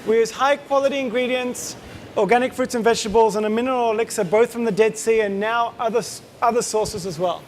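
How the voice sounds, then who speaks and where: High-voiced Australian man giving a talk. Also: fairly quick, a little hiss or hum. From Israel. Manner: casual, chatty, joking, quizzes the audience. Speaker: company co-founder giving a talk. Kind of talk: conference presentation to a live audience. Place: conference room.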